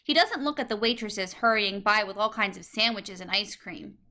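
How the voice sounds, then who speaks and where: Bright-voiced woman reading a storybook. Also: mid-range voice, expressive, normal speed. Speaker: storybook reader. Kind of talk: scripted children's story narration, read aloud.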